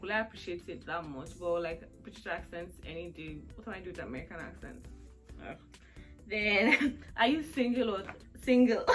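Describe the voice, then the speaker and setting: A woman in her twenties chatting through a question-and-answer vlog, rambling and laughing often. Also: mid-range voice. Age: 20s. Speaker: vlogger. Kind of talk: casual Q&A chat.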